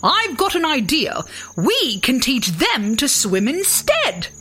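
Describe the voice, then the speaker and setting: A woman's voice: sits high, wildly swooping pitch, unhurried, fairly bright tone, faint music behind, voice pushed up, full character voice. Male wombat friend for a kids' tale, read by a woman: helpful, sensible, lightly raised voice. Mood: bright idea.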